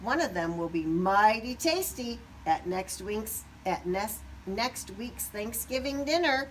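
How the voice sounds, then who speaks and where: Woman reading as a folksy, animated male farmer. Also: mid-range voice, takes it slowly, a little hiss or hum.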